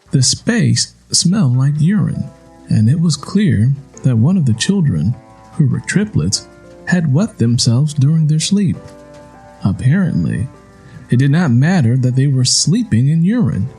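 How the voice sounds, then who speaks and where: Male audiobook narrator, soft-edged and husky, measured and solemn delivery of literary fiction. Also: medium pitch, poor audio.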